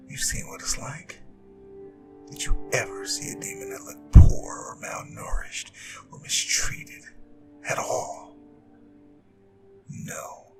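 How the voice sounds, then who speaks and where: A man playing an immortal Demon King in an ASMR audio roleplay, smug, scheming and silkily menacing, speaking deliberately in a breathy, gravelly half-whisper. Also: medium pitch, heavily acted. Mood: calculating, persuasive. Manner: smug, taunting, deliberate, persuasive villain speech.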